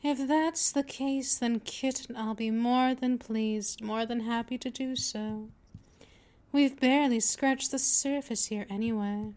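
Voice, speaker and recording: Woman playing a slow, high-voiced vampire mistress. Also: a little mellow. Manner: slow, coaxing, condescending, drifting into whispers. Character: dominant seductive female vampire mistress, patient, teasing, possessive. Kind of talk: scripted ASMR roleplay, intimate address to listener.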